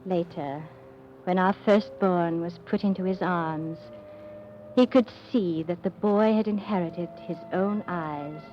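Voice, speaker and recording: A woman playing an earnest, devoted young gentlewoman, with formal, composed diction in 1940s radio drama style. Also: lowish voice, unhurried, natural voice. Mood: composed, reflective. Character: earnest, devoted young English gentlewoman narrating her life. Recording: muffled vintage radio recording, music behind.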